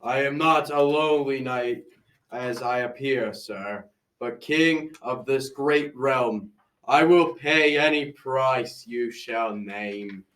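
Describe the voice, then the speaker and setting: A man's voice: medium pitch, a bit bright. A teenage boy playing a proud old king in an amateur school radio drama, speaking in formal archaic phrases. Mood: defeated, dignified. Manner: formal, archaic, measured speech. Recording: distant from the mic.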